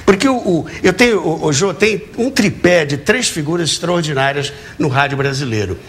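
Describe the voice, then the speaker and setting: An older man with a Brazilian accent, reminiscing as an interview guest in an animated, emphatic way. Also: a little high, normal speed. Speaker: talk show guest, radio and TV educator.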